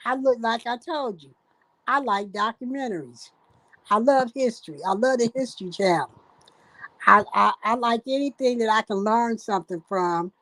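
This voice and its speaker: An older woman from Ohio, the host's mother, chatting as a guest in halting speech full of fillers and restarts. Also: a little high, a bit bright, no room sound, low-quality recording. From Dayton, Ohio, USA. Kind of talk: casual chat.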